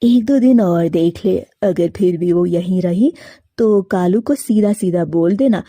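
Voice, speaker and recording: A woman plays an older mother pigeon in an animated moral tale. She is doting and meddling, drawing out her words slowly. The voice is soft-edged and very dry. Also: on the low side, no echo, pitched below the performer's own voice. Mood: wary, advising. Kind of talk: Hindi animated moral story character.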